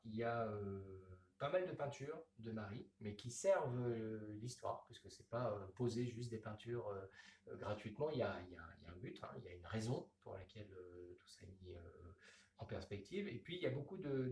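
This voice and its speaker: A man, a comics author, talking in a radio interview with many pauses and restarts. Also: lowish voice, a little mellow, reverberant, distant mic. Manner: hesitant, many fillers and restarts.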